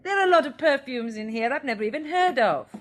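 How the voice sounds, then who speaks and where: An actress playing a genteel British woman surviving an apocalypse in a radio drama, expressive and a little hesitant. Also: sits high, fast. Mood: light, inquiring. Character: genteel, anxious but playful female apocalypse survivor.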